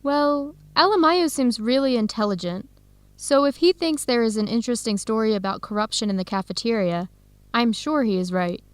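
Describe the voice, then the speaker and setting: An actress playing a warm, enthusiastic young American woman researcher in an educational radio drama. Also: on the high side, a little fast, clean tone, no room sound, lightly acted. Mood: friendly, curious.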